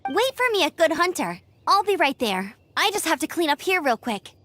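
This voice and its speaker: A woman's voice: high-pitched, big pitch swings, moderate pace, fairly bright tone, soft background music. An actress playing a cheerful, chatty young female knight in a game. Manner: bubbly, chatty.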